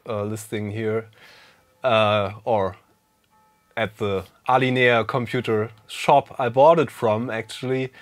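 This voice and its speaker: A German man, native German speaker, hosting a casual retro computer walkthrough, thinking aloud with many fillers. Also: mid-range voice. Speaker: retro computing video host. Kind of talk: casual hands-on tech walkthrough.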